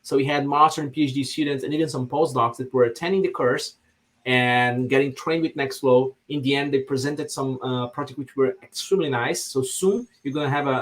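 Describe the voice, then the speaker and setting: A man's voice: mid-range voice, a bit bright. A man from Brazil whose first language is Portuguese, speaking as a podcast guest with enthusiasm, often repeating words as he searches for phrasing. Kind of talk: casual podcast discussion.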